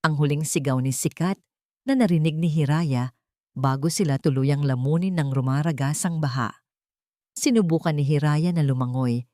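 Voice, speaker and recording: A woman's voice: low-pitched, moderate pace, warm tone, no echo, studio quality. A woman narrating a dramatic scripted love story in a solemn, melodramatic manner.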